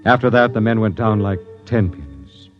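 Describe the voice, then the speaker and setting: An actor playing a grave, driven expedition captain, dramatic and deliberate, in old-time radio drama style. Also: male voice, fairly low pitch, animated, natural voice. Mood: grim, haunted. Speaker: narrator and lead actor. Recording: dull vintage radio recording with music.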